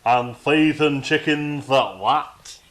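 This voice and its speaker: A man's voice: fairly high pitch, takes it slowly, a bit bright. An actor playing a sceptical henchman of a king in a comic audio drama. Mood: dutiful. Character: sceptical, slow-spoken male henchman of a king.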